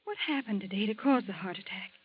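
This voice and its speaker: An actress playing a devoted, anxious young niece who speaks earnestly in an old radio drama. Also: female voice, medium pitch, lively intonation, fast talker, slightly rough. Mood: worried. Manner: earnest, pleading. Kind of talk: 1940s radio acting. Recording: dull old radio recording.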